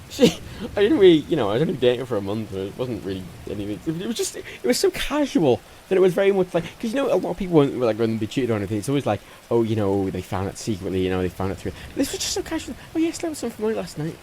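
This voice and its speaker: A man's voice: mid-range voice, wildly swooping pitch, very fast, dark tone, a little hiss or hum. A man telling casual anecdotes as a livestream co-host, rambling, repeating himself and given to giggling.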